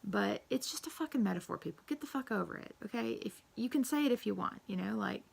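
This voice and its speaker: A woman losing her voice, croaky, chatting casually and rambling with lots of fillers and swearing. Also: mid-range voice, expressive, quick pace. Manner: profane, rambling, frequent fillers. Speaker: witchcraft vlogger. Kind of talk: casual tag-video chat.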